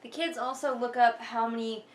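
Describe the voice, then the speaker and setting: A woman's voice: on the high side, fairly even intonation, normal speed, slight background noise. A woman giving casual, sarcastic book commentary in an emphatic, exasperated manner, sometimes reading passages aloud. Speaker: vlogger doing a critical book read-along.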